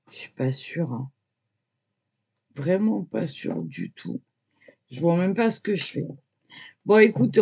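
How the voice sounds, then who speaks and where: A woman's voice: on the low side, gravelly, a little mellow, slightly rough. A woman casually talking viewers through her drawing, hesitant and full of filler words, prone to coughing as she talks. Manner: hesitant, lots of "voilà" and "euh"; coughs and chokes easily when talking. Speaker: amateur artist showing a drawing.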